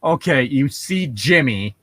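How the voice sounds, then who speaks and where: A man's voice: medium pitch, lively intonation, a little slow, bright, forceful tone, husky, no echo, studio quality. A man co-hosting with casual comedic commentary, joking and swearing freely. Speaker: co-host.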